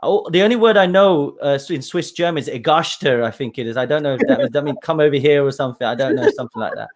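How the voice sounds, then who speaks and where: A man's voice: fairly high pitch, fast talker. Chatty, laughing male podcast host. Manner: chatty and warm, laughs often, many restarts. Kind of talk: casual interview chat.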